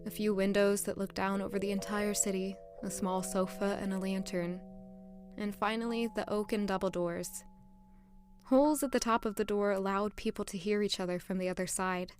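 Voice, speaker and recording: A woman's voice: mid-range voice, fairly even intonation, moderate pace, darkish tone, lightly acted. A woman playing an anxious, earnest young maid turned lady-in-waiting in an audio drama, introspective in manner. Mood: pensive narration. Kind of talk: audio drama dialogue and first-person narration. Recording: music behind the voice.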